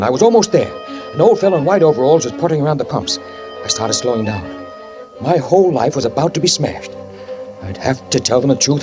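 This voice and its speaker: An actor voices a haunted man narrating in a 1940s radio thriller, his slightly high voice rising and falling widely. He halts, stammers and circles back over his words. Music plays under the old recording. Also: darkish tone, natural voice. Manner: tense, halting first-person narration with stammered repeats. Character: guilt-ridden man who fled a fatal crash. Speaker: narrator and lead character.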